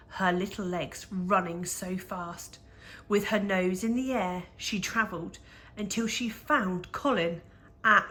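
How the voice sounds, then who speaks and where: A woman reading a rhyming picture book aloud for young children, with measured, expressive storytelling and dramatic emphasis. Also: medium pitch, slight background noise.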